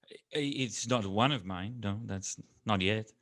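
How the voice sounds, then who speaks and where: A man's voice: lowish voice, a little slow, fairly bright tone. A man voicing an older male horn player with a dry, wry manner.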